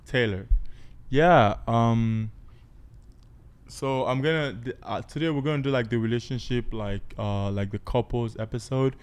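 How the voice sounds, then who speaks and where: A man's voice: mid-range voice, a little slow, smooth. A male podcast host who rambles with lots of fillers while introducing his guests. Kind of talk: casual podcast hosting.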